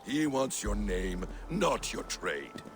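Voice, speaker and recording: A man's voice: lowish voice, big pitch swings, takes it slowly, music in the background, heavily acted. A man playing a sly, mocking Norse trickster god in a video game, with a drawling, theatrical, taunting delivery. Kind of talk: video game cutscene acting.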